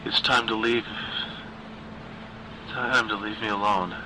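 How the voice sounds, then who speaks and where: A man reads the part of a tired middle-aged secretary, a woman who is afraid but keeps reasoning. The delivery is bright and intense, earnest and halting, in an audio horror story with faint noise behind it. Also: lowish voice, fairly even intonation, heavily acted, low-quality recording. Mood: frightened pleading.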